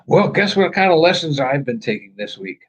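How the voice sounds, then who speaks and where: Brief: playful male co-host on a live chat, husky tone, animated swoops in pitch, a bit higher than most men. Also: a little fast, muffled recording. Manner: joking, playful storyteller. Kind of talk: casual live-stream chat.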